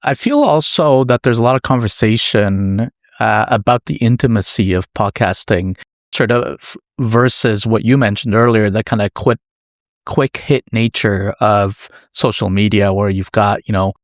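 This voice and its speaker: A man with a fairly low voice hosts an interview in a dry, close recording. His delivery is conversational and measured, building thoughtfully toward each question. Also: no echo. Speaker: podcast host, interviewer.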